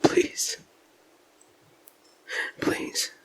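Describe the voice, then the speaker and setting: A man's voice: drawn-out words, in a whisper. A man playing a distraught family man in a 1940s-style radio drama, pleading and stammering with intense emotion. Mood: praying. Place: large church, performed live. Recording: live stage recording in a reverberant hall.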